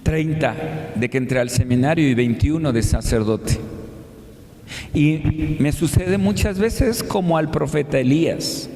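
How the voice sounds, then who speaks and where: A Mexican man in his fifties preaches as a priest, at a very unhurried pace and in a slightly high register. He leans on deliberate pauses and puts questions to his listeners conversationally. The sound carries long reverb from a large, echoey church. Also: mellow, slight background noise. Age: 50s. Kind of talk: homily.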